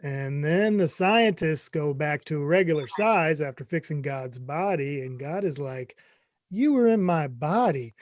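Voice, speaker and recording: Man playing clueless, eager middle-aged boyfriend, high-pitched. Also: expressive, a little slow, dry recording, studio quality. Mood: earnest, clueless. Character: Craig, mom's 55-year-old boyfriend, clueless, eager, chummy. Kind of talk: comedy script table read.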